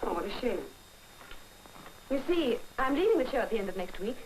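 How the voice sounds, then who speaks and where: A woman's voice: fairly high pitch, sing-song, fairly quick. An actress with a British accent playing a flirtatious, deceitful showgirl spy in 1940s film style, with theatrical, darling-laden speech. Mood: gushing, coaxing. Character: flirtatious stage performer and weary cocaine-addicted spy, deceitful woman. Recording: old film soundtrack with faint noise.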